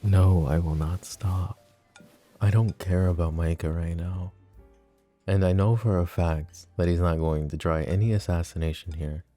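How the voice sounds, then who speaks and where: An actor playing a possessive, flirty mafia boss in an ASMR roleplay drama, teasing and smug with a cold, threatening edge. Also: male voice, low-pitched, fairly even intonation, unhurried, dark tone, no echo, soft background music. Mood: intimate.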